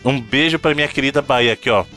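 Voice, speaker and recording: A man's voice: medium pitch, fast, bright, forceful tone, faint music behind. A man hosting a podcast in a playful, mock-formal teasing manner. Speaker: podcast host. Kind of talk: casual podcast banter.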